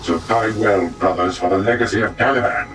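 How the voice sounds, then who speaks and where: A man playing a grave, stoic armoured space marine in a video game, gravelly and solemn, with formal archaic commands. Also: fairly low pitch, brisk, background noise, natural voice. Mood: grim resolve. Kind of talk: video game dramatic acting. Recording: distant, under loud music.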